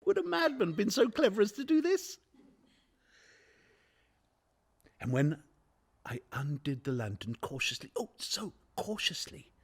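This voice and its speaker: A nervous, obsessive madman in a gothic radio play. He speaks slowly and haltingly, with a stammering edge. His pitch swings dramatically between hushed secrecy and outburst. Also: full character voice. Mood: gleeful, cunning. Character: nervous, unhinged madman confessing a murder obsession. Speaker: narrator actor in a radio play.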